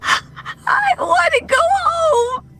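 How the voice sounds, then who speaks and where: A squeaky, creaking young girl pony, sobbing and choked. She pleads feebly in a cartoon horror story, over noisy audio. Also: lively intonation, extremely slow, shouting, exaggerated voice. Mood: weak, sobbing. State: crying, choked. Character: weak, tortured, pleading young female cartoon pegasus pony.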